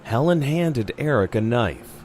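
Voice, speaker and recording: A man's voice: mid-range voice, big pitch swings, a little slow, darkish tone, slight background noise. A man narrating a scripted horror story in an audio drama. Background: music.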